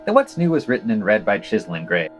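A man's voice: fairly low pitch, huge pitch swings, fast talker, soft background music. A man co-hosting a podcast, reading scripted news items and quotations with dry, wry asides.

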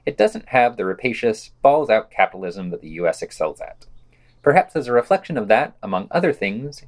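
A man reading scripted podcast commentary as a host, with a sardonic, dismissive manner. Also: on the low side. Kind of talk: scripted review commentary, read aloud.

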